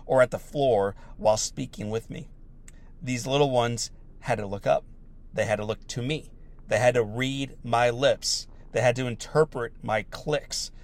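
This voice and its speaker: A slightly hoarse man, still recovering from laryngitis, giving an earnest, reflective motivational talk and repeating key phrases for emphasis. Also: medium pitch.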